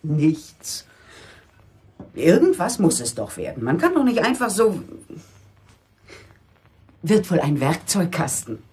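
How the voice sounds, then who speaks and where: Radio play mother: middle-aged, lowish voice, very animated delivery, nagging and worried, reproachful. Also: slow, pauses often, breathy edge, full character voice. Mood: fussing, reproachful. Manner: reproachful, fussing, exasperated. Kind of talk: German radio play acting.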